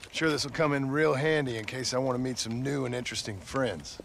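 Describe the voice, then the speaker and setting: Blunt, sardonic gunslinger. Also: male voice, mid-range voice, quick pace, gravelly, a bit bright, slight background noise. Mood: dry sarcasm. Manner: terse, dry, sardonic. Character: blunt, weary male Wild West gunslinger, sardonic and stern. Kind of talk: western video game acting.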